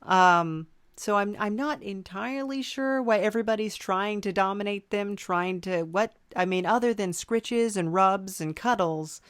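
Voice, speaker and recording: A woman's voice: medium pitch, a little slow, hardly any pauses, no echo, clean studio sound. A woman hosting a podcast, chatting casually and playfully, with a hesitant, indulgent manner. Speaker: podcast host.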